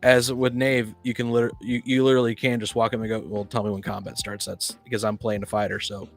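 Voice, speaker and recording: A man arguing his opinion in a casual group discussion, halting and rephrasing as he builds his case. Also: on the low side, level tone. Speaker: podcast panelist, experienced tabletop player. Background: background music.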